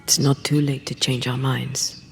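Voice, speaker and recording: An actress playing a solemn ghost woman, a slain ghost-hunter, in a video game cutscene, speaking gravely and often dropping to a hush. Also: very deep voice, level tone, unhurried, soft background music, full character voice. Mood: somber.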